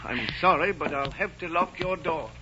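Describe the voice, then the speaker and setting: Casting: stern, caring older innkeeper dad, 1940s radio melodrama, dull recording with faint noise. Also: male voice, on the high side, moderate pace, sharp tone. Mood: sorrowful, firm. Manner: earnest, paternal, firm. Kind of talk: 1940s radio drama acting.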